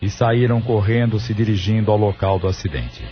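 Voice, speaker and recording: A man's voice: lowish voice, fairly even intonation, normal speed, slight background noise. A man reading scripted narration for an audio drama as its narrator. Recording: muffled studio narration over music.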